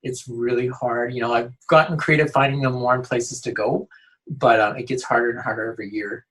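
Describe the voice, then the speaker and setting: A man's voice: medium pitch, fairly even intonation, a little fast, fairly bright tone, poor audio. A man speaking earnestly in a casual interview as a youth outreach worker, often restarting his sentences. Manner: earnest, full of restarts and "you know".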